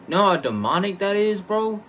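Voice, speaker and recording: A man's voice: very animated, normal speed, fairly bright tone. A young man in a dim bedroom, giving laid-back, slangy commentary while reacting to game clips. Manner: laid-back, slangy, low-key remarks. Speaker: reaction streamer. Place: dim bedroom, seated in a gaming chair. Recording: game audio noise playing behind.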